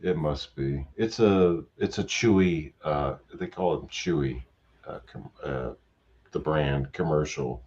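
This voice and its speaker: Very low male caller, meandering delivery, dull lo-fi line. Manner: rambling, halting, lots of fillers. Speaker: guest caller. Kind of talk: casual live chat. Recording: dull lo-fi call audio with faint noise.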